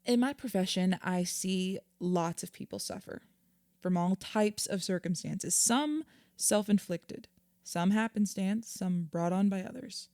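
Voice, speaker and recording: Audio drama: actress as earnest female therapist, mellow tone, measured and reassuring, very dry studio-close sound. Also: medium pitch, no room sound, natural voice. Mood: earnest, explanatory. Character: patient, earnest female therapist counselling a client. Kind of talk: naturalistic audio drama acting.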